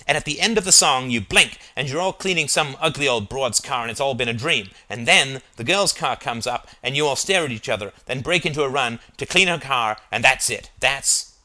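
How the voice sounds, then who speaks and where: Man as middle-aged male band manager, pushy, blunt, forceful bright voice, audiobook dialogue. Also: medium pitch. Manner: eager, blunt, run-on talking.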